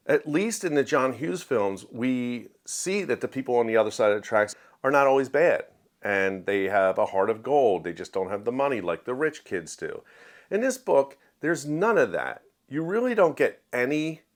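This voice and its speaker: Male book reviewer, somewhat low register, chatty and opinionated, emphatic delivery, plain everyday talk. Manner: conversational, acts out quoted dialogue in everyday voice. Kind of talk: casual opinionated review to viewers.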